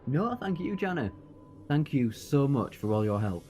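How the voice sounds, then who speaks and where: A man plays a commanding, boastful rebel time-traveller in a sci-fi audio drama. His voice sits at a middle pitch, sure of itself, over faint music. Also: big pitch swings, moderate pace, darkish tone, close to an everyday voice. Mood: warm. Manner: self-assured, boastful.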